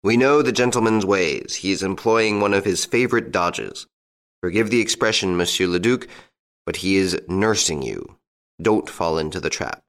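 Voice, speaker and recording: A man voicing a calm, shrewd police prefect who gives polite, measured advice in a storybook reading. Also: low voice. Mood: cautioning.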